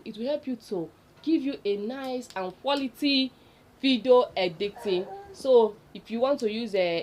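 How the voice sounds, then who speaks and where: Female tutorial host, Nigerian accent, fairly high pitch, unscripted and emphatic direct address, slightly noisy recording. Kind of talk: casual unscripted explainer listicle.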